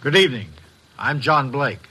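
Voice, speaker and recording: An actor playing a secretive company president in a 1950s radio drama, formal, careful and hesitant. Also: male voice, medium pitch, animated, normal speed, background noise, natural voice. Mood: guarded. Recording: vintage 1950s radio recording.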